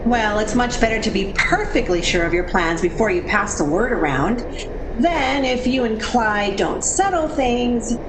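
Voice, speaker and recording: A woman's voice: fairly high pitch, lively intonation, unhurried, noisy background. An actress playing a sensible, worried woman in a 1940s radio drama, speaking with earnest, theatrical delivery. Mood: brisk, curious. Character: sensible, worried woman friend, haunted when narrating the past. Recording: echoing radio play recording with music behind.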